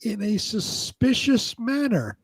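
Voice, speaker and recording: A man banters bluntly as a show contestant. His voice is high and raspy with very lively swings of pitch, captured dry. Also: takes it slowly, no room sound. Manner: blunt, sweary. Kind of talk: casual banter.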